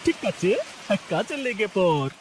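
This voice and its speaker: A crafty cartoon thief's accomplice. The voice is high for a man and full of scheming. Faint ambient noise lies behind it. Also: sing-song, a little slow. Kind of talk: cartoon voice acting.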